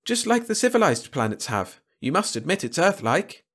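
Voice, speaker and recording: An eager male alien in a science fiction story, acted out by a man. The voice is pitched far up and sounds boastful and proud, keen to show off to visitors. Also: very animated, moderate pace, fairly bright tone, breathy edge, heavily acted. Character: proud, grinning male alien showing off his planet's war.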